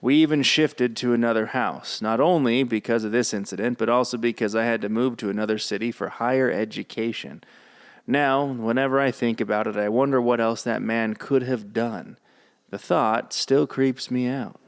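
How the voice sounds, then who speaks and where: A man's voice: medium pitch, fairly even intonation, moderate pace, smooth. A man co-hosting a podcast, reading listener stories aloud and dropping in dry sarcastic asides.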